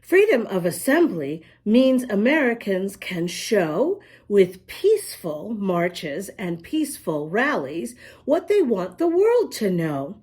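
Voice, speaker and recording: Woman, read-aloud for little kids, unhurried pace, very lively intonation, emphatic, explanatory. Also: medium pitch, husky. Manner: slow, emphatic, sing-song explaining to children. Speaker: children's storybook reader. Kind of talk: expressive read-aloud to young children with asides.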